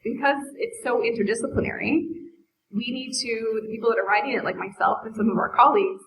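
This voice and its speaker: Woman, brisk explanatory panel talk, faint ambience behind. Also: on the high side, fairly bright tone, slight background noise, low-quality recording. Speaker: school board sustainability staff.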